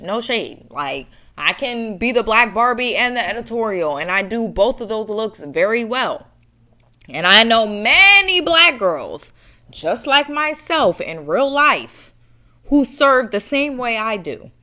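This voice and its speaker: A woman's voice: on the high side, big pitch swings, a little slow, pushed and bright. A young woman from the United States hosting her own show, giving blunt, confident commentary straight to camera. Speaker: show host.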